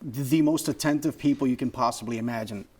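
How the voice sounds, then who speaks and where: A man's voice: medium pitch, brisk, darkish tone. A man talking as a guest on a review show, effusive and full of superlatives. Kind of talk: casual chat.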